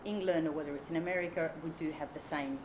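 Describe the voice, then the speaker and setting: A woman's voice: sits low, fairly even intonation, fast talker. A woman hosting an interview, asking short questions and adding brief interjections. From Greek family. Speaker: interview host. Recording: far-off mic with crowd noise.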